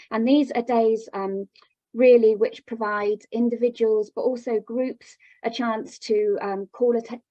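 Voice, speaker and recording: A woman's voice: medium pitch, a little mellow, dry recording, dull audio. A woman speaking as a radio guest, giving an explanatory answer in an interview.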